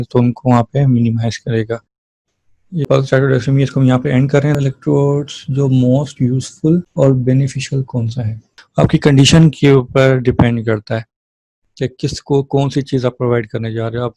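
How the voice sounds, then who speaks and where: A man's voice: medium pitch, dark tone, dry recording. A man lecturing on physiotherapy in an informal, explanatory way, mixing in English terms and checking in with short rhetorical questions.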